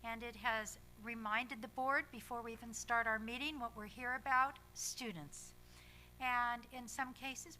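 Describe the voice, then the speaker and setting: A woman leads a school board meeting in a high voice with a very bright tone. She talks in a measured, slightly halting way and pauses between phrases. A faint hum of noise sits under her voice. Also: low-quality recording. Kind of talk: formal meeting remarks.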